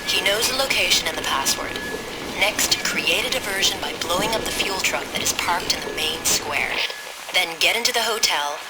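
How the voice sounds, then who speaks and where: An actress playing a brisk, commanding female intelligence controller who gives clipped, businesslike instructions in a video game. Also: on the low side, lively intonation, heavy background noise, natural voice. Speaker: voice actor. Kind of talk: video game mission briefing.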